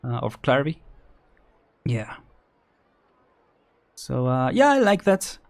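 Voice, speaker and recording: A man's voice: mid-range voice, sing-song, takes it slowly, creaky. A man chatting casually as a livestream host, rambling and hesitant, often repeating words and restarting as he thinks aloud. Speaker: streamer, vtuber host.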